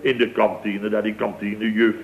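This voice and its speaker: A man's voice: fairly low pitch, little pitch movement, moderate pace, close to an everyday voice. An actor playing a blustering, exasperated Dutch company boss in a late-sixties radio comedy, exclaiming his way through anecdotes. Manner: exclamatory, anecdotal, full of tag questions. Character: blustering, exasperated male boss of a building firm. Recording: dull old radio recording.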